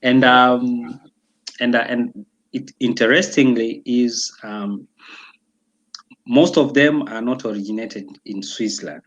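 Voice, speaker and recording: A young man from Tanzania talking casually as an interview guest, pausing often with fillers and restarts. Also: mid-range voice, fairly even intonation, fairly bright tone, muffled recording. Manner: hesitant, many fillers and restarts.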